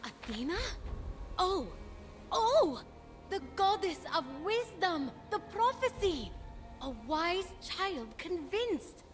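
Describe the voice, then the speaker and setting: A woman playing an eager, plucky young mortal hero in a video game, excitable and earnest, with music behind her. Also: very high voice, slow, exaggerated voice. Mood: starstruck. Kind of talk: animated video game voice acting.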